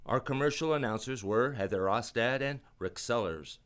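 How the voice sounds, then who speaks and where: A man's voice: medium pitch, normal speed, fairly bright tone. A man announcing for an old-time radio show in a scripted presentational style.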